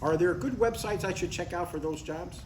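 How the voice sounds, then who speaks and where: A man's voice: high-pitched, moderate pace, close to an everyday voice. A man in his sixties playing an earnest, stubborn father who gets defensive, in a live comedy table read. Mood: upbeat, earnest. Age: 60s. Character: earnest, stubborn sixty-two-year-old father chasing a writing career. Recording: echoey live room, distant mic, faint noise.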